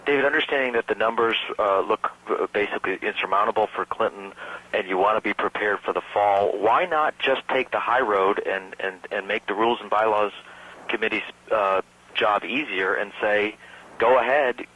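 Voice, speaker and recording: A man's voice: lowish voice, moderate pace, bright, forceful tone. A man, a newspaper reporter, asking a question on a press conference call over a lo-fi phone line. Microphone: telephone.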